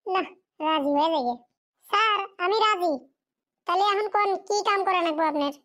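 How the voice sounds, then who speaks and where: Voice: very high voice, moderate pace, bright, forceful tone. A performer voicing a poor, fatherless schoolboy in a cartoon drama, pleading and plaintive in a rural Bengali dialect. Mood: resigned.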